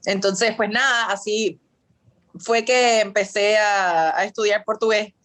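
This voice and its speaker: A woman's voice: medium pitch, fairly even intonation, a little slow, a bit bright. A woman in her thirties from Puerto Rico with a Puerto Rican accent, answering interview questions in a chatty way, full of fillers and English words, over a video call. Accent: Puerto Rican Spanish. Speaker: guest.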